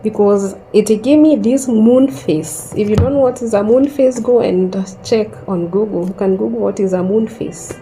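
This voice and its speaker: A woman in her thirties slowly telling a personal story in a calm, reflective way, pausing often. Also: medium pitch, slight background noise, poor audio. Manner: slow and reflective, with long pauses. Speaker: host sharing a personal story.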